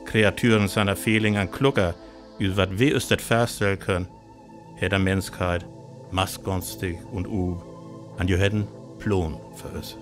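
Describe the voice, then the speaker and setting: A grave male narrator of a science fiction radio drama speaks with a North Frisian accent. His voice sits on the low side, and his delivery is scripted and dramatic, with soft music underneath. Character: grave male narrator of an alien invasion tale.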